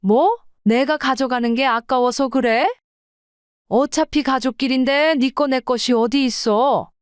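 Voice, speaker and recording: A woman's voice: sits high, unhurried, clear, resonant tone, no room sound, studio quality. A woman playing an indignant, entitled older mother-in-law who scolds in an audio drama. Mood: indignant, scolding.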